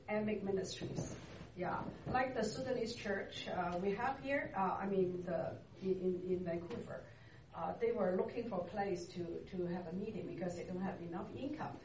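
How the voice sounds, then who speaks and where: A woman speaks at a medium pitch, slowly and haltingly, pausing often to find her words. She is picked up from some distance in an echoey church hall with clear background noise around her. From Hong Kong. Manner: unhurried, halting, searching for words. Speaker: interview guest, church ministry worker. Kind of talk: casual interview. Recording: echoey room, distant mic, noisy.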